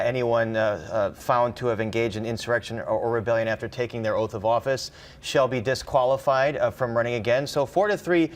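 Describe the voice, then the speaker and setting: A man with a mid-pitched voice talks through a news story as a political reporter. He is conversational and a little halting, then leans hard on the points he wants to stress. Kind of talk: live news analysis, conversational.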